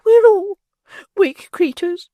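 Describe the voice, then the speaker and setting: A man voicing a flustered middle-aged matron in a high, breathy, swooping falsetto. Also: lively intonation, slow pace, warm tone, no room sound, heavily acted. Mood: faint fluttery.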